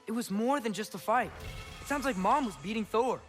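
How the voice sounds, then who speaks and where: An earnest teenage boy in a game drama, with a high, youthful voice. He sounds thoughtful and searching, with music behind him. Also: lively intonation, brisk. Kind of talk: video game drama acting.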